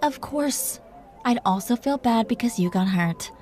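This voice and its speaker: A woman's voice: fairly high pitch, expressive, unhurried, a little mellow, soft background music. A woman voicing a seductive, possessive dragon queen in an intimate romantic roleplay audio, teasing and commanding as she flirts with pet names. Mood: teasing, reassuring.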